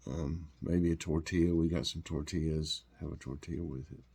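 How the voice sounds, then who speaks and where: A man's voice: a bass voice, steady tone, moderate pace, gravelly, darkish tone, slightly rough. A man with a stuffed-up, congested voice, chatting casually and rambling with lots of fillers as he hosts a taste test. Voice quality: stuffed-up nasal voice. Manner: rambling, homey, full of fillers and restarts. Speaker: vlog host.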